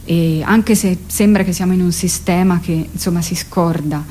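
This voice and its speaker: Female radio guest, theatre artist, lowish mellow voice, hesitant conversational delivery, slight noise. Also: fairly even intonation, moderate pace. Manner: hesitant, searching, thinking aloud. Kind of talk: radio interview, reflective conversational talk. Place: radio interview.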